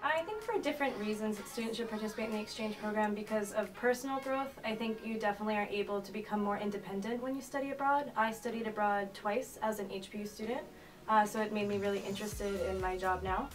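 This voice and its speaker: A woman's voice: medium pitch, fast. Young woman explaining, distant mic. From Massachusetts, USA. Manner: earnest, explanatory, occasional fillers. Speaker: study abroad office manager. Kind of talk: documentary interview. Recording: distant mic with music underneath.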